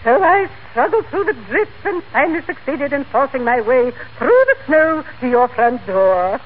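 An actress playing a pompous, genteel society matron with an affected, gushing manner in a 1940s radio comedy. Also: female voice, high voice, expressive, moderate pace, husky. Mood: fluttery, affected. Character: fluttery, pompous high-society matron, middle-aged woman. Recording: tinny old radio recording, faint noise.

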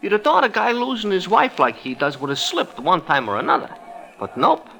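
An actor playing a cocky, gloating betting man with a gravelly, sharp voice and slangy needling talk, in an old radio drama. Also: high voice, sing-song, a little fast, full character voice, low-quality recording. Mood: smug. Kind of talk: 1940s-50s radio comedy-drama acting.

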